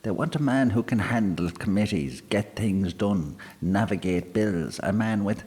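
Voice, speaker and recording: A man's voice: fairly low pitch, measured pace, a little mellow, pitched above the performer's own voice, natural voice. A man voicing a proud, boastful politician in his forties, holding forth in a pub. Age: 40s. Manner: pompous, boastful, self-satisfied. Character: proud middle-aged male politician, barrister. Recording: long hall reverb.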